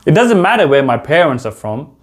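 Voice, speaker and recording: A man in his thirties from the United Kingdom, with a London accent, teaching a beginner English lesson to camera from a small room at home and spelling out slow, deliberate example sentences. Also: a little high, big pitch swings.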